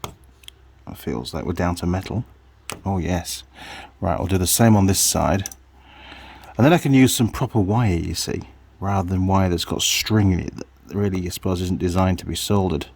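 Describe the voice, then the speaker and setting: Male hobby mechanic vlogger, lowish husky voice, chatty workshop narration, half muttered to himself. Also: moderate pace, darkish tone. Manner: muttering to himself and viewers while working. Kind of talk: casual hands-on workshop commentary.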